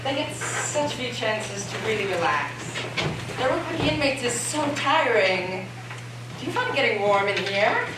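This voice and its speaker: A woman's voice: fairly high pitch, very animated, normal speed, reverberant. Woman acting coy polite young hostess in a stage play, distant mic, noisy room. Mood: chatty. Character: coy young niece hosting an asylum party. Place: school theatre stage.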